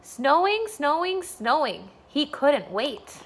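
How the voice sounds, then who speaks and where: A woman's voice: high-pitched, very animated, slow pace, slight background noise. A woman, a school staff member, reading a bedtime storybook aloud for children.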